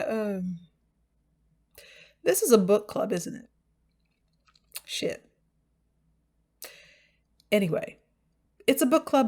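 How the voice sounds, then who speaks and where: A woman's voice: fairly high pitch, a little mellow. An American woman hosting a book channel, talking casually and off the cuff to viewers in a wry, self-deprecating, digressive way. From United States. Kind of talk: casual, unscripted vlog talk to viewers.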